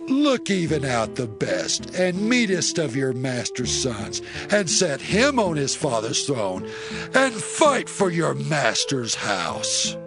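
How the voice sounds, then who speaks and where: A man's voice: mid-range voice, wildly swooping pitch, slow pace, gravelly, music in the background, heavily acted. An actor playing a fierce, commanding warrior king in a scripture audio drama, with imperious, declamatory delivery. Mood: stern, commanding.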